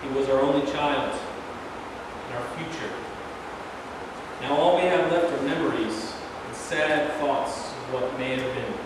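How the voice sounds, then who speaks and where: A man's voice: mid-range voice, unhurried, close to an everyday voice. A man reading the part of a resolute, plain-spoken president in a staged play, recorded from afar in an echoey room. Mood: solemn. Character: resolute, plain-spoken male American president defending his decision. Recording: very echoey hall, far mic, noisy.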